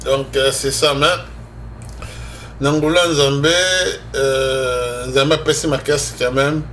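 A man's voice: mid-range voice, flat delivery, takes it slowly, fairly bright tone, a little hiss or hum. A Congolese man with a Congolese accent hosting a religious radio show, preaching conversationally and emphatically as he switches between two languages. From Democratic Republic of the Congo. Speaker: religious broadcast host and preacher.

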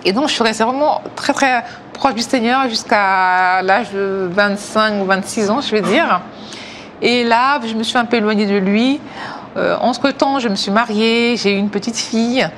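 A woman's voice: fairly high pitch, slight background noise. Hesitant woman telling her faith story. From Africa. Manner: hesitant, many pauses and fillers. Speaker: testimony speaker. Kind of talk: personal faith testimony.

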